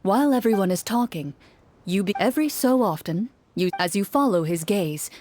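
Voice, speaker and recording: A woman's voice: mid-range voice, lively intonation, moderate pace, darkish tone, a little hiss or hum. A woman game streamer reading story narration aloud on a livestream. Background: game music.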